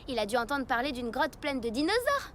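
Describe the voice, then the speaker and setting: A woman voicing a sensible, teasing child who is a loyal friend in a French animated series, reasoning and wry. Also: high voice, fairly quick. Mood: reasoning, concerned.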